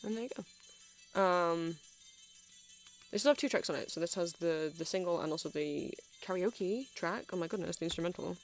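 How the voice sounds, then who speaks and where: Creaky-voiced nerdy vampire shop seller, rambling woman acting. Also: mid-range voice, moderate pace, soft background music, close to an everyday voice. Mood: enthusiastic, nerdy. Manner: hesitant, rambling, filler-heavy, self-correcting. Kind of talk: ASMR roleplay, improvised-sounding rambles.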